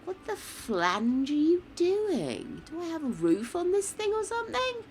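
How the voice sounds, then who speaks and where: An older woman streaming a building game, chatting casually, swearing freely and muttering to herself, her voice croaky from a sore throat. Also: very high voice, wildly swooping pitch, a little slow, slight background noise.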